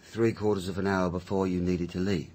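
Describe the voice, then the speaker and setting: Man, police sergeant, rapid, husky, slightly low, sardonic, sceptical, pointed, radio mystery drama. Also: little pitch movement, darkish tone. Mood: sardonic, pressing. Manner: pointed, sceptical, mock-polite. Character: sardonic, pressing male police sergeant needling a witness.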